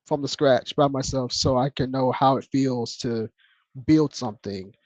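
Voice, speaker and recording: A man speaking softly in a relaxed, low-key way as a guest in a casual podcast chat. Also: no echo, lo-fi sound. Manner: low-key, laid-back and soft-spoken.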